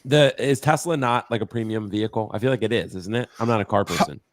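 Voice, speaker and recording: A man's voice: fairly low pitch, fast, dry recording, clean studio sound. A man hosting a casual collectibles podcast with a worn, strained voice, chatting loosely and passing questions to his co-host. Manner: loose, sweary, keeps throwing to his co-host.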